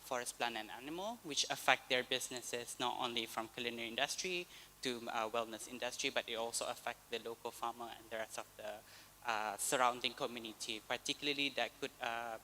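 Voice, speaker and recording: A man from Brunei, speaking in quick run-on sentences on a panel. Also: mid-range voice, pushed and bright, a little hiss or hum, rough recording. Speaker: youth delegate.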